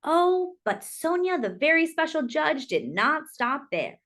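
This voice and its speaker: A woman reading a children's picture book aloud expressively, with dramatic, deliberate phrasing and drawn-out emphasis. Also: high voice, big pitch swings, a bit bright.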